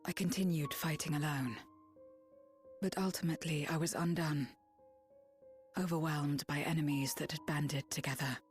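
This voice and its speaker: A woman voicing a guarded, lonely young woman warrior in a game cutscene, speaking in a subdued, breathy half-whisper. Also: low-pitched, fairly even intonation, soft background music, natural voice. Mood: somber. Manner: subdued, reflective, measured. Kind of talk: video game cutscene dialogue.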